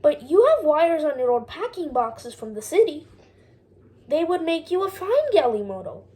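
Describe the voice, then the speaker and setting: A generous, kindly shopkeeper uncle from a picture book, read aloud by a young boy. The voice rides high and light, with a friendly, welcoming manner. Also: very animated, normal speed, darkish tone.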